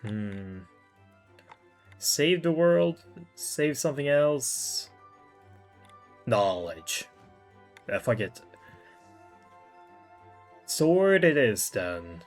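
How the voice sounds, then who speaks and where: Game streamer, unhurried, medium pitch, musing aloud, informal muttering, casual playthrough commentary, music behind. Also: very animated.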